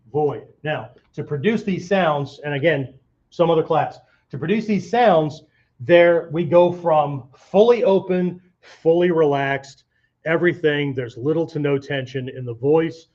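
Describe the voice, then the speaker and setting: A man's voice: on the high side, a little mellow, lo-fi sound. A man giving an informal martial arts lesson in an emphatic, conversational way, often checking in with the listener. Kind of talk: informal spoken lesson with vocal demonstrations.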